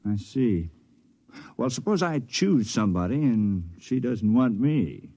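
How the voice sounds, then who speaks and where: Mellow, lively-voiced space volunteer. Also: male voice, medium pitch, measured pace. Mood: curious, uneasy. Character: polite, independent-minded former teacher volunteering for space. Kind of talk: 1950s radio acting.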